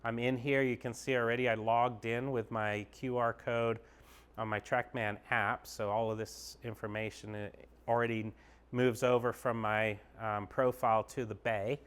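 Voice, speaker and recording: A man's voice: medium pitch, little pitch movement, moderate pace, a bit bright, smooth. A man giving a casual, upbeat walkthrough of an indoor golf simulator bay, explaining each screen as he clicks through it. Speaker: golf pro giving a tour.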